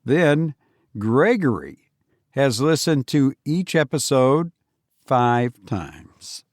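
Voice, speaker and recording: A male podcast host talks slowly and informally, as if telling a story of his own. His delivery is halting and hesitant, with a mid-range pitch. The sound is close and dry. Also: sing-song, dry recording. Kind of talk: informal podcast hosting and first-person storytelling.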